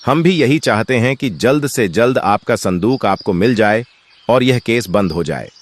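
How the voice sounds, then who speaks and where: A man voicing a measured, slightly defensive police officer in a cartoon, explaining things formally. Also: medium pitch, lively intonation, close to an everyday voice. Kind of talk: Hindi cartoon voice acting.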